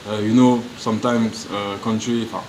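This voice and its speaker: A man from France answering press questions in halting, searching English, restarting his sentences often. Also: on the low side, flat delivery, a little mellow, a little hiss or hum, rough recording. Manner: halting non-native English, frequent restarts. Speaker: professional footballer. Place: media room.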